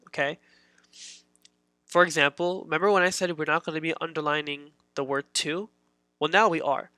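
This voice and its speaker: A man's voice: a little high, pushed and bright, no echo. A man teaching a beginner grammar lesson in a casual, patient way, repeating his points with many fillers.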